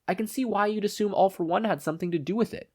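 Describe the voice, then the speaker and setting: A man plays a fussy male official in a story, pitched well above a normal talking voice. He is prim and precise, with an exasperated edge. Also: fast talker, darkish tone. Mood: pondering.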